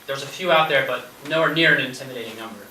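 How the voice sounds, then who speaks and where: A man's voice: mid-range voice, fast talker, bright, forceful tone, far from the mic. A man in an amateur stage play acting a wordy, defensive, argumentative young pedant. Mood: matter-of-fact, impatient. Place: indoor stage, recorded at a distance. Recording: echoey stage recording from afar, faint noise.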